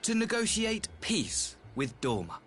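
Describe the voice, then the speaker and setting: Smooth, theatrical imperial envoy, lively pitch. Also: male voice, unhurried, soft background music, exaggerated voice. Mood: earnest, stately. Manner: formal, theatrical, unctuous courtesy. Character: smooth, courteous male imperial ambassador, self-important and ingratiating. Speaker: game voice actor. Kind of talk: scripted game dialogue.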